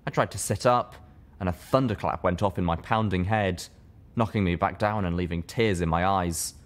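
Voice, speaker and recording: A man with a deep, low voice narrates a story in the first person. He reads from a script in the manner of an audiobook. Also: moderate pace. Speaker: fan fiction reader, narrator.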